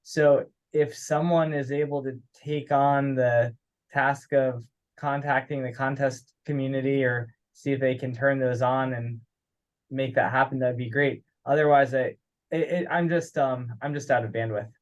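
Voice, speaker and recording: A man's voice: mid-range voice, steady tone, unhurried. Man on a dull, lo-fi conference call. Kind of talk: casual conference call discussion. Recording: dull, lo-fi teleconference audio.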